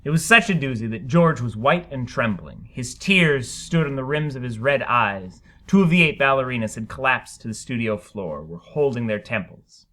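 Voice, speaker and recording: A man narrates a short story in a dramatic reading. His voice is bright and forceful, with lively, swooping pitch that keeps the scripted prose animated. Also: normal speed, hardly any pauses. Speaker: story reader.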